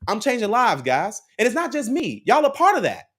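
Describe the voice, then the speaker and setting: Guy doing a live credit stream, super animated, keeps repeating himself like a pep talk. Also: very high voice, fairly quick, husky. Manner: preachy, repeats key lines, laughs often, talks to the chat. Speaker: credit advice livestream host. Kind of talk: live motivational chat with viewers.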